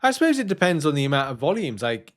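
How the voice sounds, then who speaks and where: A man's voice: on the high side, very animated, fast talker, a bit bright. A man hosting a gaming news video, reading out announcements and musing on them in a casual, hesitant, rambling way. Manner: hesitant, rambling, thinking aloud.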